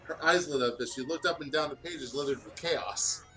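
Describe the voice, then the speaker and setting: A man's voice: on the high side, fairly quick, sharp tone, faint music behind, low-quality recording. A man reading fan fiction aloud in a casual style as a co-host of a gaming video.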